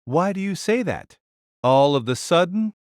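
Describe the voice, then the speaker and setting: Timid young-hearted male mage pharmacist played by a man, halting stammer, slow but swooping delivery, fantasy audio drama. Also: a little high, expressive, dry recording, clean studio sound. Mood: startled, uneasy. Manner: hesitant, halting.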